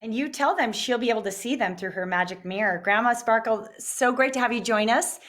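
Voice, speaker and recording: A woman's voice: a little high, brisk, fairly bright tone. A woman co-hosting a TV morning show, gushing and encouraging as she chats with a guest. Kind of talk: friendly interview chat.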